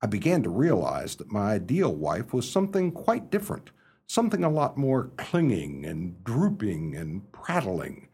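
An excitable young English gentleman of leisure for a radio drama. His delivery is theatrical, with pitch leaping all over and a slightly rough, husky tone. He chats and blusters like a well-meaning fop. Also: normal speed, full character voice. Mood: reflective. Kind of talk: radio play acting and first-person storytelling.

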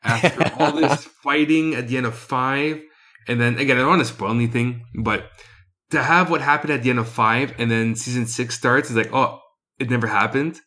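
A man with a mid-pitched voice, a little nasal from a cold. He chats on a podcast with a joking, rambling manner and keeps correcting himself. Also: fairly quick, bright, forceful tone. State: has a cold, slightly nasal. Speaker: podcast co-host. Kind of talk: casual podcast chat.

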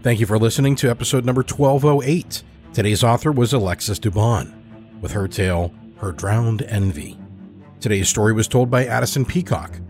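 A man hosting a podcast, reading the closing credits in an even, measured way. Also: on the low side, soft background music. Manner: even, measured announcer delivery. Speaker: podcast host. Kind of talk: scripted outro credits.

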